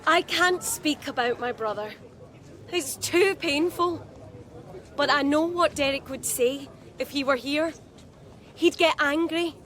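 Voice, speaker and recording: A woman's voice: high voice, takes it slowly, a bit bright. An actress playing a grieving young woman making an impassioned speech at a rally in a radio drama. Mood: tearful, pained. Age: young adult.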